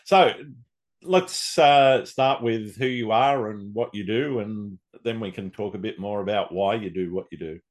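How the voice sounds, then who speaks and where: A man with an Australian accent and a slightly husky voice, presenting as the host of an interview podcast. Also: lowish voice, measured pace. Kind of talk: scripted introduction and interview chat.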